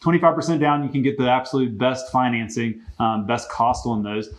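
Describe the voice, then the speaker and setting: A man hosting a casual business interview, explaining things conversationally with a gently teasing manner. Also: medium pitch, fairly even intonation, brisk. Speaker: host.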